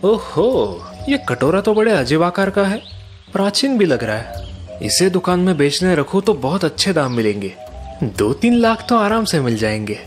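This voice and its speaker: A man voicing a cocky, crafty young antique dealer in a cartoon story, confident and smoothly polite. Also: high voice, big pitch swings, moderate pace, soft background music. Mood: curious.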